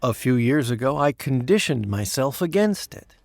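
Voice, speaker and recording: An actor playing a patient, deferential presidential aide in a comedic audio drama, speaking in a measured deadpan. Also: male voice, medium pitch, gravelly, dry recording, studio quality. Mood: matter-of-fact.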